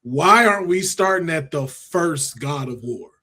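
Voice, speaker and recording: A man's voice: fairly high pitch, animated, unhurried, sharp tone. A man chatting informally as a podcast panelist, with a questioning way of talking. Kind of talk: casual pop-culture discussion.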